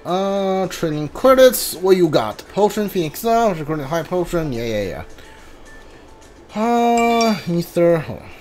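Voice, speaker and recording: A gamer giving casual, hesitant commentary on a playthrough, often muttering to themselves in a halting way. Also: medium pitch, sing-song, a little mellow. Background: video game music.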